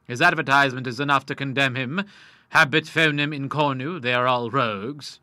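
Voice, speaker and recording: Man voicing a genial old lawyer, learned, amiable, bright crisp tone, dry sound. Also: mid-range voice, brisk, dry recording. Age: older. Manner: genial, fond of Latin tags.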